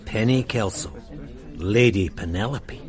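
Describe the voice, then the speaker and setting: An actor playing a calm, shrewd male police sergeant in a radio drama, explaining things in a measured way. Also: fairly low pitch, lightly acted.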